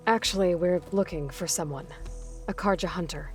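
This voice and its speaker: An actress playing a determined young female hunter in a video game, calm and matter-of-fact. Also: fairly low pitch, normal speed, natural voice. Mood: focused. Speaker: game protagonist. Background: game music.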